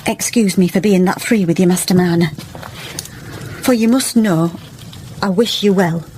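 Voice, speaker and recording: A woman's voice: lowish voice, normal speed, a little mellow, a little hiss or hum, natural voice. A woman playing a kindly, pious village wife in a radio drama, chatty and earnest, with a rural English accent. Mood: earnest, coaxing. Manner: chatty, homely, earnestly persuasive.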